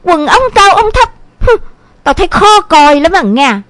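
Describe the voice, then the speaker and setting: A woman's voice: high voice, lively intonation, measured pace, no echo. A woman voicing a scolding, exasperated middle-aged village mother in a dramatised audio story, with a southern Vietnamese accent and exclamatory delivery.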